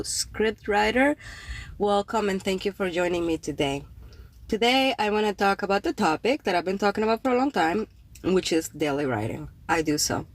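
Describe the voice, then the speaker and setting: A woman from Cuba whose first language is Spanish, hosting a livestream podcast in English with a Cuban accent, chatting in a meandering way with many fillers. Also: fairly low pitch. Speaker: podcast host and poet. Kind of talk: casual livestream chat greeting viewers.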